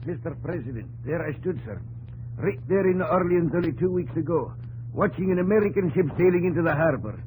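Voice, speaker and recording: A man's voice: on the high side, lively intonation, fairly quick, a little mellow, natural voice. Man playing a blunt, folksy frontier farmer, old radio. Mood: earnest. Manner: emphatic, folksy. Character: blunt, excitable frontier farmer from Mississippi country, male. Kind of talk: 1950s radio drama acting. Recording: noisy old 1950s radio recording.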